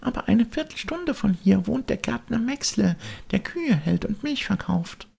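A tiny storybook cricket, speaks in a very high, thin falsetto. The delicate little creature gently chides and advises. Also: male voice, animated, unhurried, creaky, husky, exaggerated voice. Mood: instructive.

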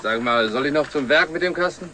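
An actor playing a loyal, familiar truck-driver colleague in a 1960s TV drama. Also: male voice, a little high, a little fast, sharp tone. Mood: helpful, teasing. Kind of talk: 1960s German TV drama acting. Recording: old TV film, faint noise.

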